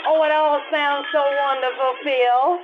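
Woman acting a high-pitched sassy waitress. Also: fairly even intonation, unhurried. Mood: flirtatious. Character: sassy, flirtatious female diner waitress. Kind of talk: old-time radio drama acting. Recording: tinny band-limited recording with music.

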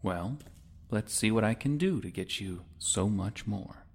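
A man's voice: fairly low pitch, animated, unhurried, vocal fry, darkish tone, slightly rough, full character voice. An actor playing a wry young would-be freedom fighter in a sci-fi audio drama, talking in a casual, sardonic way as he bargains. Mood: earnest, bargaining. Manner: casual, sardonic, informal wording. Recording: music under the voice.

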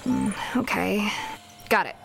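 A woman's voice: mid-range voice, big pitch swings, takes it slowly, creaky, music in the background, heavily acted, rough recording. A woman voicing a brash, determined young woman who talks in a casual, sarcastic way.

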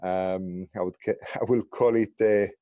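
A man in his forties with an Israeli accent, a guest telling his career story in a podcast interview, animated and restarting his sentences. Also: measured pace, no echo, lo-fi sound. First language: Hebrew. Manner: animated, restarts sentences, pitch jumps up on stressed words. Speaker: guest, insurtech founder.

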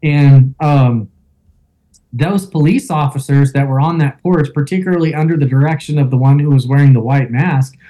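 A man with a loud voice, a guest in an interview, telling a first-person account with fillers like "um" and "you know". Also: mid-range voice, normal speed, low-quality recording. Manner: storytelling with fillers and reenacted quotes.

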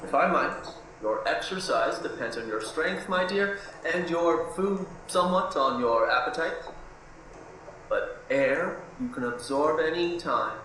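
Heavy room echo surrounds a controlling husband in a radio drama. His fairly high male voice is formal, patronizing and firm, always admonishing. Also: lively intonation, a little slow, pushed and bright. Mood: patronizing reproach. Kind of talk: radio play acting. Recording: very echoey room, faint noise.

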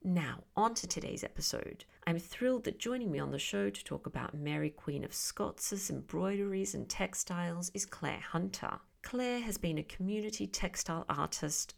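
Female podcast host, lowish register with a gravelly creak, presenting and interviewing. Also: animated, moderate pace, hardly any pauses. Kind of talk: scripted podcast narration and interviewing.

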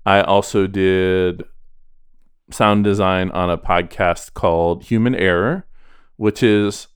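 Guy podcast host, lowish smooth voice, dry close sound, chatty and kinda self deprecating. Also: steady tone, slow pace, no echo. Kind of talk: casual podcast chat.

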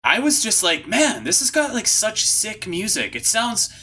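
A man's voice: high voice, huge pitch swings, normal speed, sharp tone, slightly rough. A man hosting a podcast in a casual chat style, with an upbeat, slangy manner. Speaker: podcast host.

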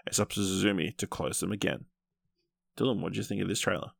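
A man's voice: low-pitched, fairly even intonation, fast, creaky, dry recording. A man hosting a podcast and chatting casually about films. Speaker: podcast host. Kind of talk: casual film review chat.

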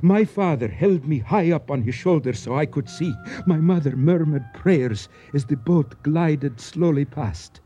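Mellow, courtly storyteller. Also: male voice, fairly high pitch, expressive, faint music behind. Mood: reverent, mournful. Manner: formal, courteous, florid, deliberate phrasing. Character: courtly Egyptian man, learned, nostalgic storyteller. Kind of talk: radio drama acting. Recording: dull, muffled old radio recording.

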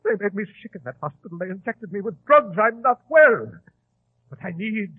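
A man's voice: high-pitched, sing-song, normal speed, slightly rough, no room sound, exaggerated voice. An actor in 1940s radio style playing a nervous, vain, grandiose English madman who rants and repeats himself. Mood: ranting, aggrieved. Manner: agitated, repeats himself, ranting. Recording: tinny, band-limited old radio broadcast.

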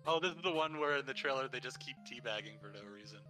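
Relaxed chuckling man on a podcast. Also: mid-range voice, quick pace, faint music behind, rough recording. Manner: relaxed, chuckling, thinks aloud with fillers. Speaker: podcast co-host. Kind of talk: casual chat about video games.

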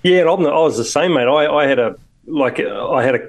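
A New Zealand man with a blocked-up head cold, co-hosting a podcast in a relaxed, slangy way. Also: fairly high pitch, fast talker. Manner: laid-back, slangy, easy laughs. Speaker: podcast co-host. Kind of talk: casual chat.

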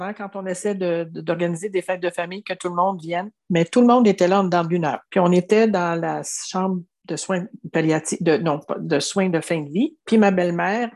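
A woman's voice: on the low side, darkish tone. A woman in her seventies from Quebec with a Québécois French accent, telling her life story as an interviewee in an earnest way, often restarting and saying "tu sais". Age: 70s. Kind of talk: reflective personal storytelling.

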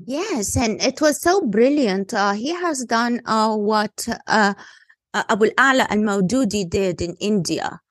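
Analyst type, woman, Egyptian accent, native Arabic, pitched a touch high, insistent and emphatic, dry close sound. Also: lively intonation, unhurried, dry recording. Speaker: guest analyst. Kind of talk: panel discussion.

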